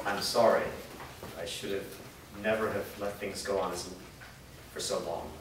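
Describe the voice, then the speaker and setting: A man plays a guilt-ridden father in a fantasy drama, worried and earnest. His halting, fairly low voice is heard from a distance in a heavily echoing room. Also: fairly even intonation. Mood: regretful. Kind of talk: staged reading of a fantasy drama. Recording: echoey room, distant mic, clear noise.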